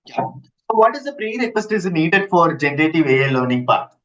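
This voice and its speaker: A man giving a live online lecture, halting and repeating himself as he checks in with listeners, using his computer's built-in mic. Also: a little high, very animated, a bit bright. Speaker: instructor. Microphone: built-in computer mic, no headset. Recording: dull lo-fi computer audio.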